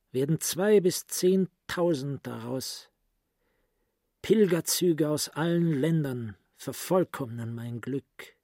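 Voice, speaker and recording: A grandiose psychiatrist lost in dark private thought. The voice is mellow and breathy, slightly high for a man, and moves with slow, intense deliberation. It is a close, dry radio drama monologue. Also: no room sound. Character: brooding, grandiose psychiatrist voicing his inner thoughts. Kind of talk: literary radio play monologue.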